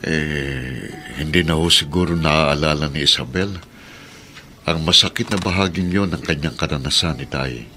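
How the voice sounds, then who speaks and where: A measured, respectful man in a radio drama. His voice is exceptionally deep, with clear noise behind it. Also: pushed and bright. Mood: sombre. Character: respectful, measured grown man speaking to father. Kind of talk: Filipino radio drama acting.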